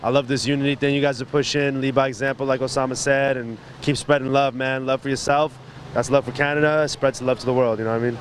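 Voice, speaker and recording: A man's voice: mid-range voice, flat delivery, fast talker, slight background noise. A man from San Diego chatting casually on the street in a laid-back, slangy way. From San Diego, California. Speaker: visitor interviewed on the street.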